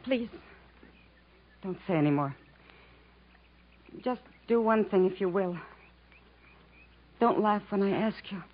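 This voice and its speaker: A woman's voice: medium pitch, expressive, slow, long pauses. A female voice on a dull vintage broadcast. a brave, tender heroine who holds herself in, speaking in short, restrained phrases in fifties radio drama style. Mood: brave, pleading. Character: brave, tender woman stoically parting from her beloved. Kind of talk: 1950s radio drama acting.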